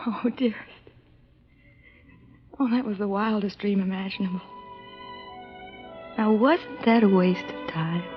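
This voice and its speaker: A woman's voice: medium pitch, slow, pauses often, over music, natural voice. An actress playing a tender, coaxing wife and mother in an old, muffled 1940s radio drama. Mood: teasing, soothing.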